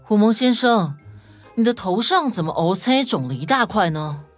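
Casting: woman as principled child sleuth for a kids' audio story, deliberate and logical, very animated pitch, music underneath. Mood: confident. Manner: deliberate, reasoning, matter-of-fact.

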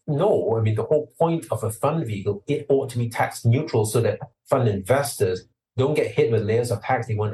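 A man from Singapore, a lawyer, answering interview questions in a measured, explanatory way that turns emphatic at points. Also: lowish voice, warm tone, recorded from a distance, rough recording.

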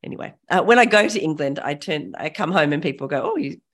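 The giggly, chatty woman with the lowish voice who hosts an upbeat interview podcast you'd play on a morning jog. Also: expressive, fast. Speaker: podcast host. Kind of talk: upbeat casual interview chat.

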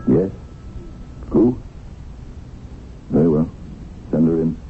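A man's voice: rumbling low, expressive, slow, heavily acted. A man playing a bemused, gallant business boss in a radio drama. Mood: surprised, charmed. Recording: tinny old radio recording with faint noise.

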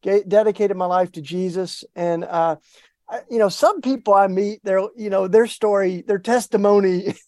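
A man's voice: high-pitched, fairly even intonation, normal speed, darkish tone, no echo. Earnest Southern man, dry studio sound. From East Tennessee. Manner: earnest, rambling with many restarts and fillers. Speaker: guest, faith activist. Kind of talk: casual interview talk.

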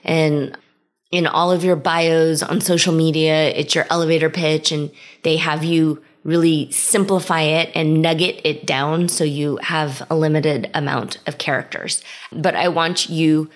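Low-voiced woman hosting a podcast. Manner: conversational, reflective, starts many sentences with "so". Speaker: podcast host. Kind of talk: solo podcast monologue.

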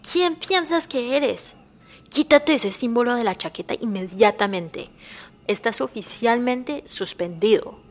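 Audio drama: outraged matriarchal type, female actor, fiery, very animated, raised pitch. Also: normal speed, close to an everyday voice. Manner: fiery, scolding. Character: outraged, imperious Latin American woman.

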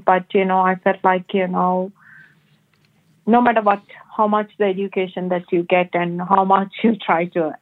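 A woman from Sri Lanka calling in, speaking hesitantly with many fillers. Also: mid-range voice. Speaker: caller. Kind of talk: phone-in testimony. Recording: phone line.

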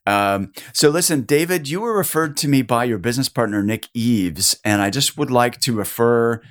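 A man hosting a podcast interview, upbeat and keen, his voice a little husky from a cold. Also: medium pitch, normal speed. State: has a cold, a bit husky. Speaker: podcast host.

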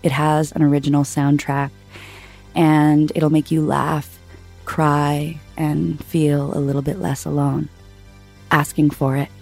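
A woman reading a podcast promo, with a husky, gravelly voice. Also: sits low, flat delivery, slow, darkish tone, soft background music. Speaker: ad presenter.

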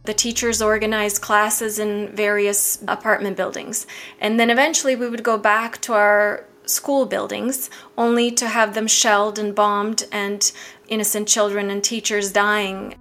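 A woman around forty, from Bosnia with Bosnian as her first language, recalling her past in a reflective, measured way with some restarts. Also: mid-range voice, little pitch movement, a bit bright. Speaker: interviewee. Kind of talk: personal recollection.

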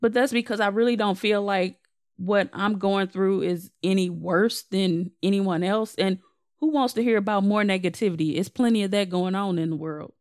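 A young woman, slightly hoarse, hosting her own podcast in a casual, candid and self-deprecating way. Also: medium pitch, moderate pace, darkish tone, no room sound, studio quality. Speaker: podcast host. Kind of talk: casual solo podcast update.

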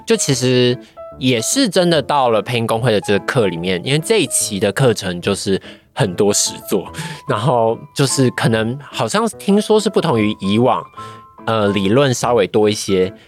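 A man's voice: medium pitch, sing-song, normal speed, soft background music. A man with a Taiwanese Mandarin accent, reflecting in a podcast interview and often searching for his words. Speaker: guest, voice-acting trainee.

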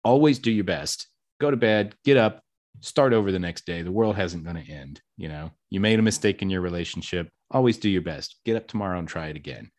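A man's voice: sits low, brisk, darkish tone. A man hosting a casual podcast interview, talkative and upbeat, with self-deprecating jokes. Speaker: podcast host.

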